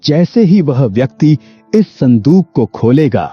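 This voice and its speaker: A man playing a wise, solemn holy hermit who speaks with kindly, preaching benevolence in an animated story. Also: medium pitch, a little slow, breathy edge, no room sound. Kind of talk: animated Hindi moral story acting. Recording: dull lo-fi audio with music behind.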